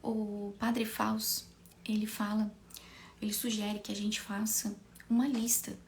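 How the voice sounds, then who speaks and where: A Brazilian woman, slightly hoarse with a cold, teaching an informal online class in a conversational, explanatory way with frequent tag questions and rhetorical questions. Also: mid-range voice, level tone, measured pace, slight background noise.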